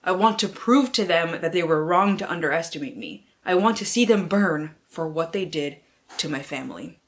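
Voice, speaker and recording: A woman's voice: lowish voice, expressive, normal speed. A woman book vlogger chatting casually and reading blurbs aloud in a playful, theatrical way, with slang and free swearing.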